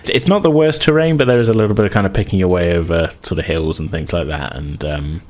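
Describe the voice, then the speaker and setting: Low-pitched man running a tabletop game. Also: a little mellow. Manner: halting, repeats and rephrases descriptions as they go. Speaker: game master. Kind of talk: improvised narration of a tabletop role-playing game.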